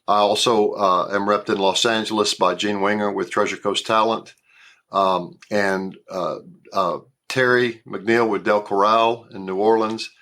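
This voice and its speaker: A man's voice: fairly low pitch, fairly even intonation, a little slow, fairly bright tone. A man in his sixties with a Southern US accent, an actor talking casually in an interview, often repeating words and restarting. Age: 60s. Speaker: actor guest.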